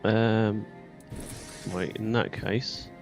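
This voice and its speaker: Man, very even pitch, creaky voice, informal gaming commentary, thinking aloud. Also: extremely slow, music in the background. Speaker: gaming YouTuber. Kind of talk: casual gameplay commentary.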